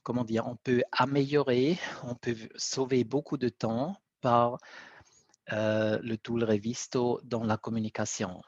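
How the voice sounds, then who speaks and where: A man whose first language is Italian, speaking with an Italian accent, presents on a webinar, pausing often to search for words. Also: medium pitch, no room sound. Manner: halting, searching for words, frequent fillers. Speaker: guest speaker, BIM coordinator. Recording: dry, dull lo-fi webinar audio.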